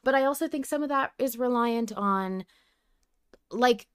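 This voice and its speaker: Woman, podcast host, clear resonant voice, very dry recording, casual enthusiastic delivery. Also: fairly high pitch, measured pace, no echo. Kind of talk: casual fan podcast discussion.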